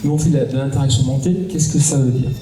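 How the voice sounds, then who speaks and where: Dark-voiced man from southern France. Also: mid-range voice, steady tone, rapid-fire. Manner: explanatory, lots of hesitant fillers. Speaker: startup cofounder on a panel. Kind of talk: round-table conversation. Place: conference hall. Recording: echoey hall, distant mic, faint noise.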